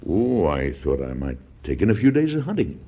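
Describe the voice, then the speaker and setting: A low, very animated voice of a stately American president, formal and wily with good humor. It is classic radio drama acting on a muffled old broadcast recording. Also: male voice, fairly quick, breathy edge, exaggerated voice. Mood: jovial, relaxed.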